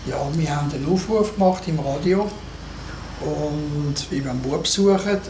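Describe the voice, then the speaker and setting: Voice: medium pitch, normal speed, lightly acted. An actor as an echoey Swiss German police officer. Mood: official. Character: formal, questioning Zurich police officer. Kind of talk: Swiss German radio play dialogue. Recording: echoey room with background noise.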